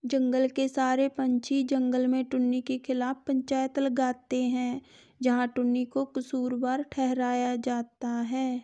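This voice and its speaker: A woman's voice: high-pitched, completely flat delivery, measured pace, dark tone. A woman narrating a scripted children's story.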